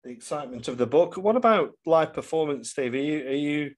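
A man hosting a casual interview, speaking in an appreciative, halting way. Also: fairly high pitch, animated. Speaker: podcast host, interviewer.

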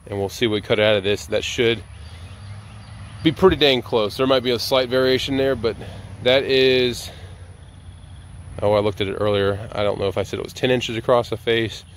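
A middle-aged man talks in a folksy, casual way, as if thinking out loud. His voice sits at an ordinary male pitch. He is recorded on a camera mic outdoors, with clear ambient and vehicle noise around him. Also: steady tone, normal speed. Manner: folksy, informal, thinking aloud. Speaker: sawmill operator and vlogger. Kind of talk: casual chat narrating hands-on woodworking. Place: outdoors at an open-sided sawmill shed and yard.

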